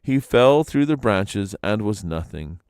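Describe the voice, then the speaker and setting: A man narrating legends as a storyteller, in a dramatic, expressive reading. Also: lowish voice, a little slow, clean tone, dry recording, clean studio sound.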